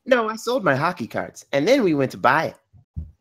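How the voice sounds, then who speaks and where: A woman's voice: low-pitched, huge pitch swings, moderate pace, fairly bright tone, exaggerated voice. A woman playing an earnest, sensitive young son at a script table read.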